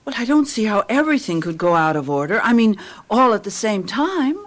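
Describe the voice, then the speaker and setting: An American actress playing a chatty, flustered suburban housewife in a radio drama, speaking expressively and fretfully. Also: female voice, medium pitch, very animated, a little fast, lightly acted. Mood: dismayed.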